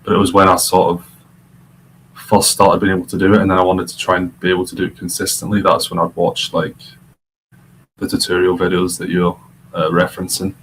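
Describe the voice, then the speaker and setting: A man's voice: deep voice, monotone, fairly quick, fairly bright tone, a little hiss or hum, rough recording. A young man from Scotland, a podcast guest, chatting casually and rambling with fillers. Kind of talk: casual chat.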